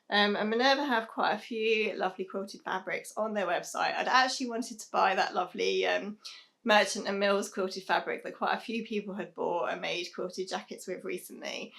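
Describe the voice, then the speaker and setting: Young british woman vlogging from her sewing room, a bit hoarse, lots of ums. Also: medium pitch. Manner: chatty, many "um"s, soft laughs. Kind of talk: casual chat to camera. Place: small home sewing room, indoors.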